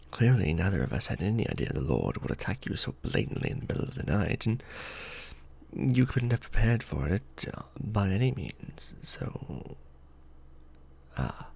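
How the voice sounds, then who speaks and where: A man playing an ancient vampire lord in an ASMR romantic roleplay, formal and protective, with measured, theatrical diction. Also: on the low side, gravelly, husky, heavily acted. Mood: wounded, wry. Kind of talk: ASMR romantic roleplay, scripted character acting.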